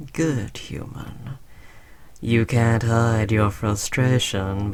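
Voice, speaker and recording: A man playing a smug, condescending alien conqueror in an audio roleplay, talking slowly to a human servant with taunting, patronizing fondness. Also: on the low side. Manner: slow, taunting, patronizing praise. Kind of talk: scripted audio roleplay addressed to the listener.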